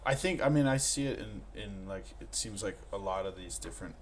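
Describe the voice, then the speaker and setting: A man's voice: on the low side, brisk, a crowd in the background. A man co-hosting a podcast, chatting casually in an informal, slangy way. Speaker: podcast co-host. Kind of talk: casual chat. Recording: faint background room noise.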